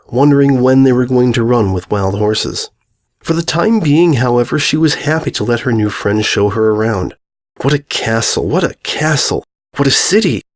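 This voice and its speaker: A man reading a story as narrator, expressive and lyrical, lingering on repeated phrases. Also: mid-range voice.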